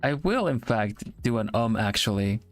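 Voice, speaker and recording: Halting man chatting, faint music. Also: on the low side, animated. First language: Swedish. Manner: halting, repeats words while searching for them. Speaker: streamer. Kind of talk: casual chat on a live art stream.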